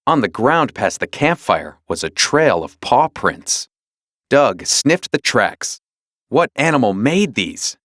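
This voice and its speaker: Animated male storybook narrator. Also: on the low side, takes it slowly, dry recording, studio quality. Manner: expressive, dramatic storytelling. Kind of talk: scripted children's read-along narration.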